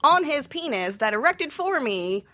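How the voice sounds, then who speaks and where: A woman's voice: high-pitched, big pitch swings, measured pace, a bit bright. A woman on a radio panel, casually telling explicit stories in a candid, unabashed and sweary way.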